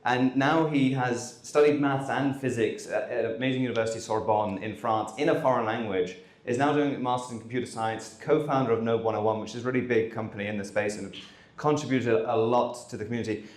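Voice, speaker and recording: A man's voice: medium pitch, a little fast. Man hosting in an echoey hall. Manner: relaxed, conversational, with frequent ums. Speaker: conference host. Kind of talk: opening remarks on stage. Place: conference hall.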